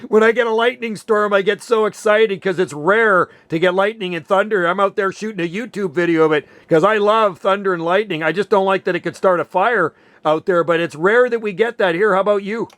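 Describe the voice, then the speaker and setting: A man's voice: sits high, a little fast, fairly bright tone, dry recording. A man in his sixties chatting casually over ham radio, enthusiastic and calling people "man" often. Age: 60s. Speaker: ham radio operator, channel host. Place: home on a Pacific Northwest island.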